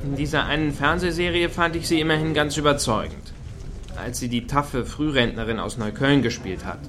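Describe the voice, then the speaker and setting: A man's voice: medium pitch, moderate pace, noisy background, lightly acted. A man acting in a satirical radio play as an easygoing, agreeable peacemaker on a night out, talking casually.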